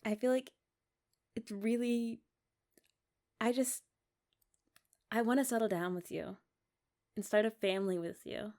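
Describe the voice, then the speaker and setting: A young woman playing a loving, sentimental young wife in a romantic ASMR roleplay, talking tenderly and haltingly as if to her partner. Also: on the high side, steady tone, darkish tone. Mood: earnest, nervous. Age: young adult.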